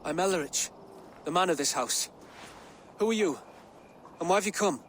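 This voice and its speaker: A man's voice: high voice, animated, normal speed, a bit bright, a little hiss or hum. A young man playing an anxious, earnest nineteen-year-old peasant in a period game, with naive, quaint phrasing. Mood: wary, indignant. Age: young adult. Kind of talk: dramatic period game acting.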